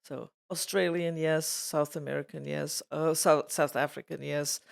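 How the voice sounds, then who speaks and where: A woman's voice: deep voice, steady tone, measured pace, creaky. Older woman with a layered Slovak-Swedish accent. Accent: layered Slovak, Swedish and English. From Czechoslovakia. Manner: thoughtful, digressive, laughs easily. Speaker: interviewee, retired program editor. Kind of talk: casual reflective conversation.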